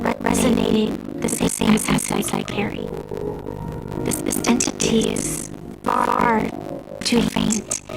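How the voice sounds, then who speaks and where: A woman's voice: extremely low pitch, creaky, full character voice, poor audio. A woman voice-acting a bold, perceptive young traveler in a video game. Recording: music behind the voice.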